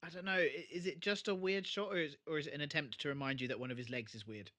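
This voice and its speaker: A man co-hosting a casual TV re-watch podcast, slangy and animated, acting out reactions as he talks. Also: fairly high pitch, quick pace. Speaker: podcast co-host.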